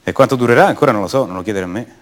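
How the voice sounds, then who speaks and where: An actor playing an ordinary, self-doubting man back home, speaking a halting, conversational monologue in a radio drama. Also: mid-range voice, lively intonation, close to an everyday voice. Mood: casual, reassuring. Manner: halting, conversational, rhetorical questions to the listener.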